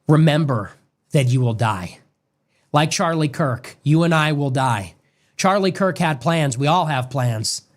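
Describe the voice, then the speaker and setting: A man's voice: a little high, animated, a little slow. A man giving a grave, preacher-like monologue on death and faith, played back from an original stream. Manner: grave, preacherly cadence with long lists. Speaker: political commentator.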